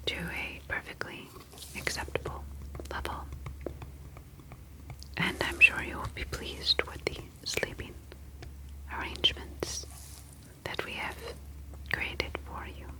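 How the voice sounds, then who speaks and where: A woman playing a calm, clinical ocean alien scientist in an ASMR sci-fi roleplay, whispering in a soft, formal, reassuring manner. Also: low-pitched, slow pace, pauses often, poor audio. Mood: soothing, formal.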